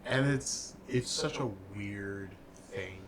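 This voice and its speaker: Young male co-host, slow and halting, relaxed casual talk. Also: lowish voice, noisy background. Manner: halting and hesitant, many fillers and restarts. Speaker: podcast co-host and video editor. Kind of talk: casual chat.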